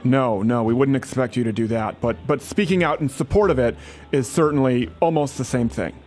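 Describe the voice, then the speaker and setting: A man's voice: mid-range voice, brisk, a little mellow. A man making a prank phone call, pushy and falsely sincere, often stammering and restarting his sentences. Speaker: prank caller.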